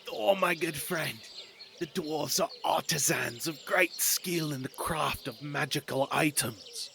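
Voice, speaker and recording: A man's voice: on the high side, slow, gravelly, pitched above the performer's own voice. A man playing a sly, mischievous trickster god in an audio drama, wheedling and theatrical as he schemes. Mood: smooth flattery. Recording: noticeable background noise.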